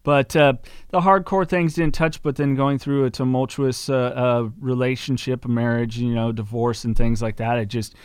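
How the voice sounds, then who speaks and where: Brief: male talk-show host, smooth resonant voice, dry close sound, loose and rambling. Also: mid-range voice, steady tone, normal speed, no echo. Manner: chatty, rambling, plugs sponsors. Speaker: podcast host. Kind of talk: casual interview chat. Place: soundproofed studio.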